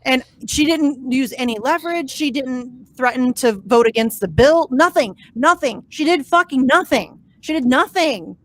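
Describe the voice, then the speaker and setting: A woman hosting a casual political talk stream, blunt and exasperated, her voice hoarse and cracking. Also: high voice, lively intonation, normal speed, hardly any pauses, low-quality recording. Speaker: host.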